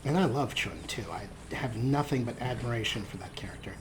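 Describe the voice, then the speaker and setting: A man's voice: mid-range voice, brisk, a little hiss or hum, rough recording. A man hosting a video game let's play, commenting in a wry, self-deprecating way. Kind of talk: casual gameplay commentary.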